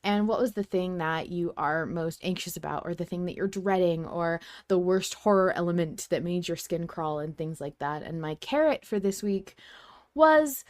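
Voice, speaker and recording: A woman's voice: lowish voice, moderate pace, no echo. A woman hosting a podcast in casual chat, with an enthusiastic, playful manner. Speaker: podcast host.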